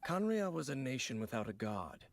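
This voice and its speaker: An actor playing a composed, secretive, knowing man in an anime-style video game, speaking in a measured, solemn, formal way. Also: medium pitch, very animated, creaky. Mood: grave, proud.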